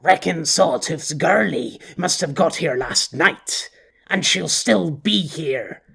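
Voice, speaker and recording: A man voicing a blustering, boastful petty male gang boss who rants and bosses his crew around, with a husky voice. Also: on the high side, animated, slow, bright, forceful tone, full character voice. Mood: bossy. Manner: boastful, bossy, rough-talking.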